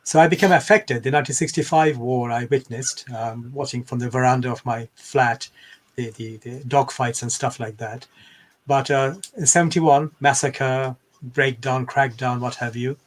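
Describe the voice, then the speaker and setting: A man's voice: medium pitch, fairly even intonation, moderate pace. A man from Bangladesh in his fifties, a scholar and podcast guest, telling his life story in a thoughtful, rambling way full of digressions. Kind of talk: reflective interview storytelling.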